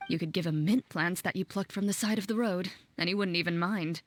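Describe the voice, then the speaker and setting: A woman's voice: on the low side, brisk, lightly acted. A woman playing a composed, matter-of-fact young woman with a dry wit in a video game. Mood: wry, amused. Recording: faint background music.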